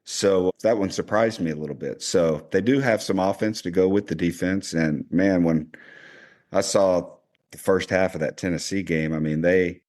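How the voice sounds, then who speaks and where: A man with a cold and a raspy voice, chatting casually as a podcast host about basketball. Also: deep voice, moderate pace. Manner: relaxed, rambling, many fillers. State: hoarse from a cold. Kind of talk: casual sports chat.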